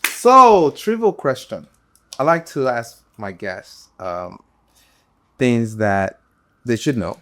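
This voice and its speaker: A man's voice: medium pitch, animated, slow, fairly bright tone. A man hosting an interview, asking quiz questions in an easygoing way with lots of fillers. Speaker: podcast host.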